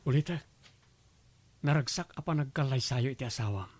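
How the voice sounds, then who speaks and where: A man's voice: medium pitch, lively intonation, a little fast, darkish tone, husky. A man playing a remorseful young ex-boyfriend in a radio drama, speaking earnestly with an Ilocano Filipino accent. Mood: resigned, polite. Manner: earnest, pleading.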